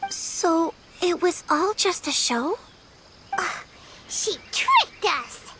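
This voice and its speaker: A woman voicing a tiny, childlike, excitable fairy companion in a video game. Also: extremely high pitch, drawn-out words, very noisy, full character voice. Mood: uneasy, indignant. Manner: childlike, expressive, refers to self in third person. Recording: music behind the voice.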